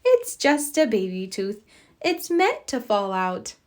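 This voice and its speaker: A woman's voice: fairly high pitch, wildly swooping pitch, slow, a little mellow, heavily acted. Woman as a patient, lilting mother pig. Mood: reassuring. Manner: patient, explaining. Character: calm, reassuring mother pig.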